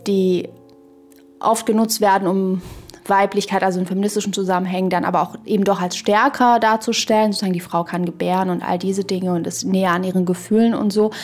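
A woman in a reflective podcast conversation, speaking in a halting, searching way and often adding little tag questions. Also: mid-range voice, fairly even intonation, darkish tone, soft background music.

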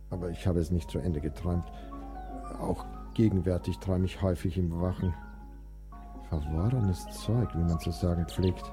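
A man acting a sickly, melancholic and capricious young composer in a German radio play, theatrical, drifting between languor and excitement. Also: deep voice. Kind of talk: German audio drama acting. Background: music.

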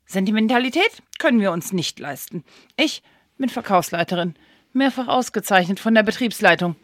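A woman's voice: mid-range voice, animated, moderate pace, no echo, lightly acted. Woman as a bossy sales manager, dry sound. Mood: cold, boastful. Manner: commanding, haughty. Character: stern, bossy, ruthless female discount-store sales manager. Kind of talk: German radio play acting.

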